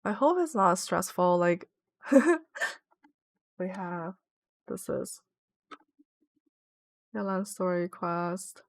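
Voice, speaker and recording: A woman's voice: fairly low pitch, very slow, long pauses, dry recording. A young woman streaming from home, chatting casually and rambling with lots of "like" fillers as she plays. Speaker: Twitch streamer. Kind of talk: casual live-stream chat while gaming.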